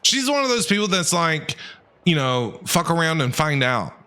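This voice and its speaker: Animated man streaming a game. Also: a little high, normal speed, clear, resonant tone. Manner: animated, excitable, slangy. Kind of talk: casual live reaction commentary.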